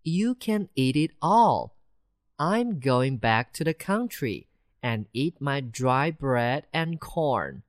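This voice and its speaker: A woman voicing a small, anxious country mouse in a children's storybook, squeaky and slow. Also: very animated, no room sound, clean studio sound, heavily acted. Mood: calm.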